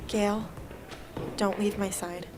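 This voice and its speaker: A woman's voice: mid-range voice, little pitch movement, takes it slowly, background noise, natural voice. A woman playing a guarded, self-doubting teenage girl soldier in an amateur radio drama. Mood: subdued, unsure.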